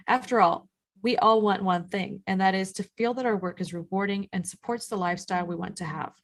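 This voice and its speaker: A woman co-hosting a podcast, friendly and engaged. She chats easily, encourages her partner as she speaks, and tells her own story. Her voice sits at an ordinary pitch. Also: brisk. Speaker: podcast co-host. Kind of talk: live podcast chat, storytelling.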